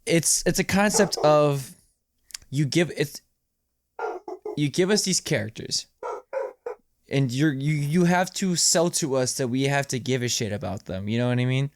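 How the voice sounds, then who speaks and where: A man's voice: medium pitch, animated, measured pace, pauses often, dry recording, clean studio sound. A man co-hosting a podcast, talking casually about movies in an informal, rambling, explanatory way and repeating phrases for emphasis. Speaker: podcast co-host.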